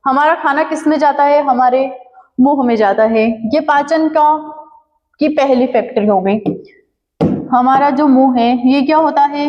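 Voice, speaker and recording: A woman's voice: high-pitched, big-room sound, dull audio. A woman teaching a lesson, asking the class questions and then answering them herself. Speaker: biology teacher.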